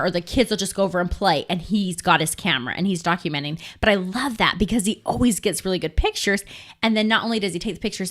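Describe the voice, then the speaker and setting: A woman's voice: on the low side, fairly bright tone. A woman hosting a casual interview, a chatty storyteller who laughs as she talks. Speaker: podcast host.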